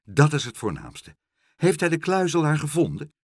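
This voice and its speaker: An anxious male shopkeeper from a children's tale, voiced by a man narrator. He is gentle and hesitant, and his questions rise and fall dramatically with worry. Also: on the high side, wildly swooping pitch, normal speed, dry recording, clean studio sound, voice pushed up.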